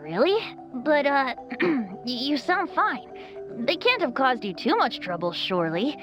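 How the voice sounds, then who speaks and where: A woman's voice: high-pitched, big pitch swings, measured pace, over music. A woman voicing a carefree young male bard in a video game, casual, hesitant and a little sheepish. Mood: dismissive. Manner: hesitant, casual, giggly, rambling.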